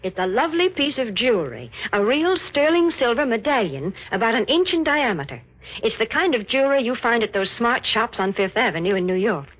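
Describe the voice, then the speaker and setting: A woman delivering sponsor patter as a spokeswoman on a 1940s radio show. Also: fairly high pitch. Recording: muffled 1940s radio recording.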